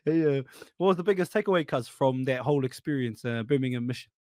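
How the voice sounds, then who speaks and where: A man's voice: on the high side, steady tone, normal speed, darkish tone, no room sound, clean studio sound. A male podcast host who chats casually, laughs often and teases his guest. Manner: chatty, laughs often, playful teasing.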